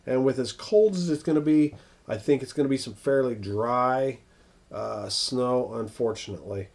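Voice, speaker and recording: An American man hosting a casual, unscripted weather livestream, thinking aloud in a halting way as he answers viewers. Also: medium pitch, vocal fry, darkish tone. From northern United States.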